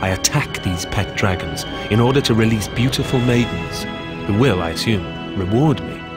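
A man playing a lonely, self-mocking artist, reading his letters aloud in an introspective, measured way for an audiobook. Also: fairly low pitch, animated, loud music behind the voice, natural voice. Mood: wry, brooding.

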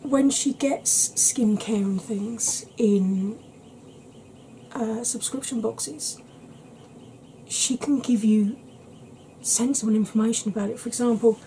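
A woman in her thirties chatting to camera at home in a soft ASMR style, rambling and often dropping into whispers. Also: on the high side, takes it slowly, long pauses, a little hiss or hum, poor audio. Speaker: beauty vlogger. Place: small cluttered room at home.

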